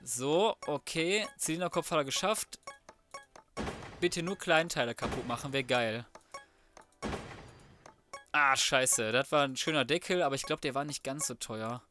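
A man's voice: medium pitch, a little slow, pauses often, music in the background. A man giving casual let's play commentary, colloquial and mildly sweary, often muttering to himself. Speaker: gaming video host.

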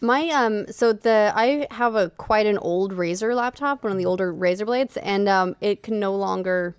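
Woman chatting casually, medium pitch, dry sound. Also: moderate pace, clear, resonant tone, dry recording. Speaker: gaming podcast co-host. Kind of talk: casual chat. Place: podcast studio, indoors. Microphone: studio podcast microphone at desk.

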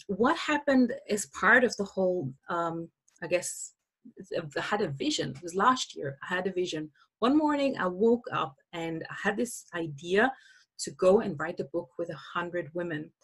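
Husky-voiced woman hosting a webinar. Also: fairly low pitch. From Austria. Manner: chatty, many fillers and restarts. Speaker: summit host. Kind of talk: webinar presentation. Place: indoor room. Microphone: webcam on a video call.

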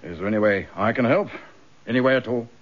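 An actor playing a loyal, anxious British detective inspector in a radio drama, earnest and direct. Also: male voice, medium pitch, quick pace, close to an everyday voice. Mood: earnest, helpful. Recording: slightly dull radio drama recording.

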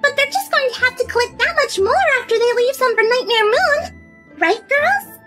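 Super squeaky excitable cartoon pony girl, cheery, bouncing up and down in pitch, music behind. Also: normal speed. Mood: coaxing. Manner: excitable, breezy, gushing. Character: bubbly, cheerful female cartoon party pony. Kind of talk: fan fiction character reading.

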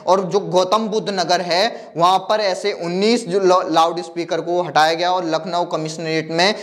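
High-voiced young man presenting news. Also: flat delivery, moderate pace, fairly bright tone. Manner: talks directly to the viewer, gesturing with a phone in hand; reels off long lists of figures. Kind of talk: news commentary to camera. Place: dark studio backdrop, indoors. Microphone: lapel mic.

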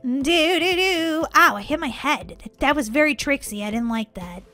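A very high, bright-voiced woman hosts a gaming video with childlike excitement. Her commentary is playful and full of exclamations, swinging widely in pitch, with music behind her. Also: a little fast.

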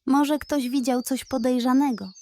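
A woman's voice: sits high, fairly even intonation, moderate pace, warm tone, slight background noise, voice pushed up. Woman voicing a sensible girl animal friend. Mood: thoughtful.

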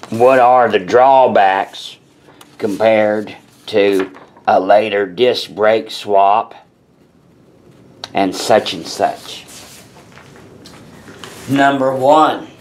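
A man who sells car parts, talking bluntly in a casual way to the camera from an indoor parts workshop. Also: lowish voice. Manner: blunt, plain-spoken, deliberate. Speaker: parts seller explaining brakes. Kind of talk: casual shop talk to camera.

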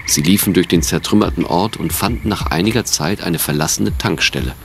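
A man's voice: sits low, fairly quick. A man reading scripted narration for an audio drama. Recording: clearly noisy recording.

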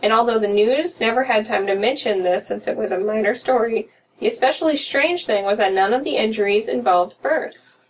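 Young American female narrator for amateur horror readings, voice on the higher side, slightly distant home recording. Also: fairly quick. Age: young adult. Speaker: podcast co-host reading horror stories. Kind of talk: reading a story aloud. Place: small room at home, indoors. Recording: distant mic with room noise.

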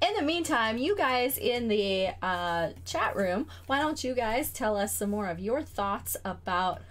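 A woman's voice: on the high side, animated. A woman co-hosting a live stream from home, teasing and giggly as she reads out the chat. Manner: giggly, teasing, drawn-out delivery. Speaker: co-host reading chat.

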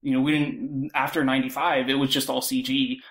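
A man talking casually as a panel guest, halting and peppering his speech with filler words. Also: medium pitch, flat delivery, a bit bright, poor audio. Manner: halting, filler-heavy, frequent "like". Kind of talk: casual discussion.

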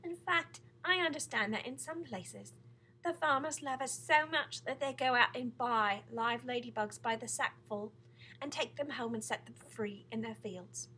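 A woman's voice: on the high side, measured pace, sharp tone, slight background noise. A woman voicing a proud, genteel female ladybug for a children's storybook, speaking precisely and formally with a slightly snobbish manner. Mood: proud, earnest. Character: proud, prim, genteel female ladybug, modest yet self-satisfied. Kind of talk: children's storybook character reading.

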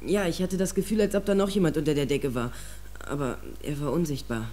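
A man's voice: on the high side, steady tone, fairly quick, darkish tone, lightly acted. A male actor playing a distraught, defensive teenage boy in a German audio drama, speaking with anguish and agitation. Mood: troubled. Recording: faint hum and noise, cassette audio drama.

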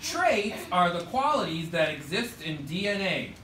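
Bright-voiced male teacher in an echoey classroom. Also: sits high, sing-song, a little slow. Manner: projected, directive teacher delivery with short prompts. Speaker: third grade teacher. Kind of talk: classroom instruction with call-and-response. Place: school classroom, indoors. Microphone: distant camera at back of room. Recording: echoey classroom, distant mic, faint noise.

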